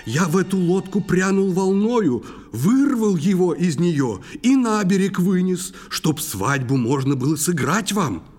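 An actor playing a boisterous, mischievous and menacing water spirit uncle in a children's verse audio play, theatrical and sing-song. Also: male voice, high voice, lively intonation, measured pace, few pauses, a little mellow, soft background music. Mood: insistent, boastful.

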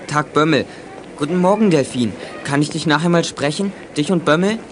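An eager, anxious schoolboy acted by a young man in a youth radio play. His voice is fairly high, with clear street and vehicle noise behind it. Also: moderate pace, close to an everyday voice. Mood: earnest. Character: earnest worried schoolboy seeking help for his grandmother. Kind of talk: German youth audio drama acting.

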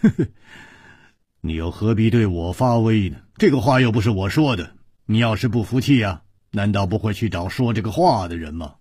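A man voicing a brash, teasing drinker in a storybook, muttering and stammering with drawn-out interjections. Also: fairly low pitch, expressive, a little mellow, husky, no room sound, clean studio sound. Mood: taunting. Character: brash, teasing male drinker, a blustering rascal.

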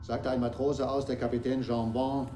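A shipowner's lawyer presses his questions played by a man in a mid-range voice that echoes in the room. The manner is formal, deliberate and pointed, radio drama courtroom acting with faint music beneath. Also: medium pitch, level tone, warm tone, close to an everyday voice. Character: probing, formal male lawyer for a shipowning house.

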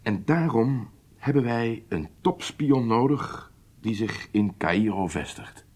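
An actor playing a deliberate, authoritative secret service chief in a radio drama. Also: male voice, medium pitch, lively intonation, a little mellow. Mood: grave, confiding.

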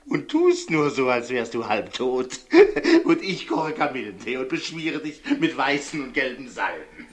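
An actor playing a fawning, morbidly affectionate executioner in a German radio play, chatty and theatrical, muttering and gushing by turns. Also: male voice, medium pitch, sing-song, measured pace. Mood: ecstatic. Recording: noisy old radio recording with clicks.

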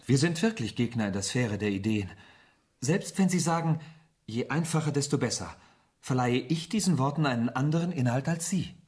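An actor playing an earnest, fervent young idealist poet with formal, literary phrasing in a German radio play. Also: male voice, medium pitch, expressive, normal speed, a little mellow, natural voice. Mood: stiff, earnest. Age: young adult.

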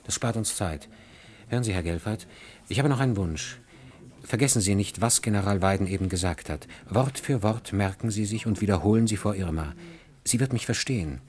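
A man acting a grave, resolute, quietly despairing professor in a 1960s science-fiction radio play, speaking in a clipped, solemn way. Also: on the low side, a little fast, lightly acted. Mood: urgent, controlled.

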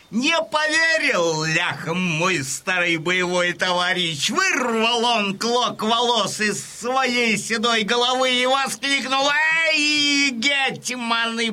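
Cossack war veteran, slow, ringing forceful tone, proud, emphatic, stirring storyteller, audio drama. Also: male voice, very high-pitched, heavily acted. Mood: solemn, stirring. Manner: declamatory, emphatic, deliberate. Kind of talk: Russian audio drama acting.

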